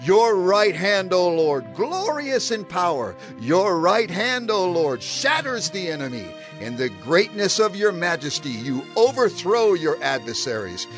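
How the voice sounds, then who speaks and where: An actor playing an exultant worshipper, fervently declaiming praise in a dramatized scripture recitation. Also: male voice, high voice, big pitch swings, measured pace, few pauses, fairly bright tone, faint music behind, heavily acted.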